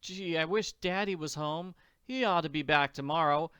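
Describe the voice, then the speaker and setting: A man's voice: fairly high pitch, moderate pace, fairly bright tone, smooth, no room sound, lo-fi sound, voice pushed up, lightly acted. A man voicing a plucky little orphan girl from a comic strip, with slangy, exclamatory talk. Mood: worried.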